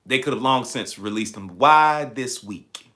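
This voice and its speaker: A man with a bright, forceful voice that carries a slight tired rasp. He talks like a motivational livestream host, landing on key phrases and repeating them for emphasis. His pitch sits in an average male range. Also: measured pace. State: slightly raspy, tired voice from shouting the night before. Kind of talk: motivational livestream talk, preaching-like.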